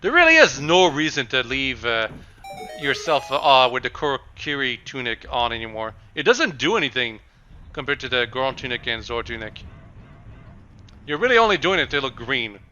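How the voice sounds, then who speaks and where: Bright-voiced young man rambling over gameplay. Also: medium pitch, sing-song, slight background noise. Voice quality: slightly raspy. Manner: rambling, with frequent pauses and restarts. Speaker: let's play commentator. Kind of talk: casual game commentary.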